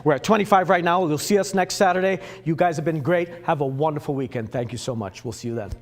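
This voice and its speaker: A man with a Swedish accent working as an auctioneer, calling bids in a rapid, repetitive chant. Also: fairly high pitch. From Sweden. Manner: repetitive rhythmic bid chant.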